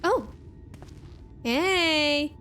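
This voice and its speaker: A young woman with a slightly high voice talks slowly and chattily over game music. She reacts excitedly as she plays and comments to her viewers. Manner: chatty, excitable, says "like" a lot. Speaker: streamer. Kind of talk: casual gameplay commentary.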